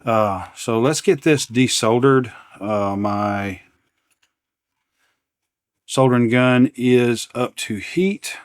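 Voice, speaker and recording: A man's voice: mid-range voice, takes it slowly, pauses often, no room sound, studio quality. A man hosting a repair demonstration, talking casually and unscripted, partly to himself as he works at a bench. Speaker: repair channel host. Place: indoors at an electronics workbench.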